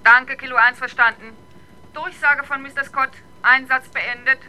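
An actress playing a strict, officious police dispatcher over the radio in a German crime drama, clipped and formal. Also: female voice, high voice, fairly even intonation, moderate pace, sharp tone, soft background music. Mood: clipped, official.

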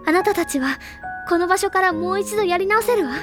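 An actress playing a gentle, earnest older schoolgirl who coaxes carefully in a Japanese audio drama. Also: very high voice, a little fast. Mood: gentle, coaxing. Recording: loud background music under the voice.

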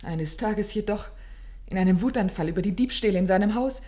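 A woman's voice: medium pitch, fairly quick, warm tone, natural voice. An actress playing a distressed, genteel young woman in a radio play, speaking formally and dramatically. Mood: grave recounting. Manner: formal, dramatic, pleading phrasing.

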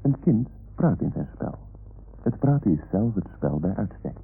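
Narrator: man, measured and literary, strongly modulated delivery over music, narrow vintage radio sound. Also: lowish voice, very animated, creaky, husky. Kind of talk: poetic scripted introduction. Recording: tinny narrow-band old radio broadcast.

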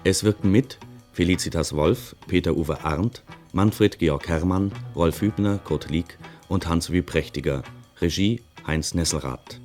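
A man announcing radio drama credits in a formal, solemn, deliberate 1970s broadcast style. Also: low voice, a little mellow. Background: music.

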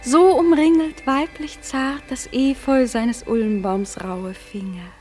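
A woman's voice: sits high, fairly even intonation, takes it slowly, a little mellow. An actress playing a doting, seductive fairy queen in a classic radio play, speaking verse in a lyrical, caressing, theatrical manner. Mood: tender, lulling. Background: music.

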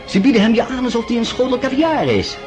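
A man's voice: extremely high pitch, very animated, fast. A man acting a gallant, gossipy society dandy in a Dutch radio drama, effusive, flowery and affected. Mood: gossipy, relishing. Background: music.

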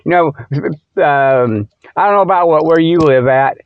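A man's voice: on the high side, moderate pace, clean tone, no echo, muffled recording. A man hosting a comic show, rambling off the cuff in a joking, folksy way with loose colloquial grammar and chuckles at himself. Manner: colloquial rural-style grammar such as ain't and them.

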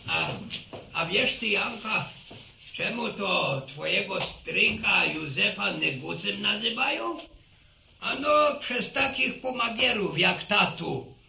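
Creaky old village grandfather, high-voiced. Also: lively intonation, takes it slowly, sharp tone, heavily acted. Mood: amused storytelling. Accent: rural Polish. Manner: rambling, repeats words, folksy sayings. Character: folksy old village grandfather, teasing and cautionary. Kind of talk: radio serial acting. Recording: roomy, slightly distant radio drama recording.

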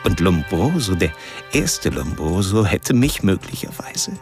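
An actor playing a polite, ironic, quietly sinister man confiding a measured, self-righteous monologue in a radio drama. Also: low-pitched, very animated, creaky, over music, heavily acted. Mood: wry, confiding. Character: polite, ironic, secretly sinister male narrator confiding his thoughts.

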